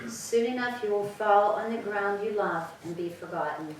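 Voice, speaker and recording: A woman's voice: mid-range voice, moderate pace, natural voice. A woman playing a grieving widowed captive in the chorus of a staged Greek tragedy, solemn and declamatory. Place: church, live staged reading. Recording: echoey hall, distant mic, background noise.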